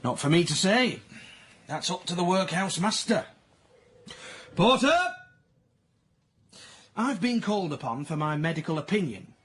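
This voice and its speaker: An actor playing a pompous, contemptuous, moralising workhouse doctor in a period radio drama, with a husky voice and clipped formal manner. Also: male voice, high voice, a bit bright, a little hiss or hum. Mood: officious.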